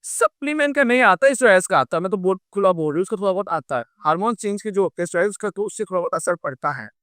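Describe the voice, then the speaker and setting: Woman with a naturally heavy low voice, blunt and defiant, answering interview questions. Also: expressive, very fast, a bit bright. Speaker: guest, female bodybuilder. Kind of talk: candid interview talk.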